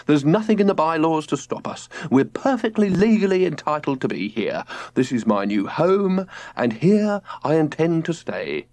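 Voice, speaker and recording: A man's voice: a little high, very animated, moderate pace, darkish tone, pitched above the performer's own voice. A man voicing a pompous middle-aged father who speaks in a lofty, theatrical way about living back to nature. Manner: lofty, theatrical, self-important.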